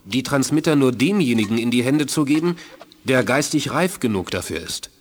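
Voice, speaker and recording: An actor playing a dignified, wary male ruler of an alien people in a radio play, measured and solemn. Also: mid-range voice, expressive, natural voice. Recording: long hall reverb.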